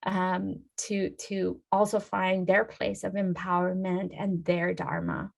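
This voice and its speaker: A woman's voice: on the low side, fairly even intonation, slow pace, gravelly. A woman in her thirties from Iran, a yoga and Ayurveda healer, speaking as an interview guest in a thoughtful, hesitant way with many fillers.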